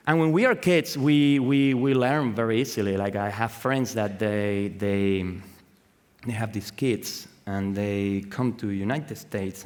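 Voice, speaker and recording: A man with a Spanish accent gives an informal talk as an artist, halting and searching for words in English and swearing freely. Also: medium pitch, clean tone. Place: on stage in a large hall. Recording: echoey hall with long reverb.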